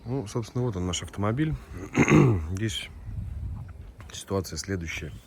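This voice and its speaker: A hoarse male mechanic casually walking through an engine teardown in a repair garage, matter-of-fact and a little rueful. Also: lowish voice, lively intonation, a little slow, slight background noise. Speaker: auto mechanic, channel host. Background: music.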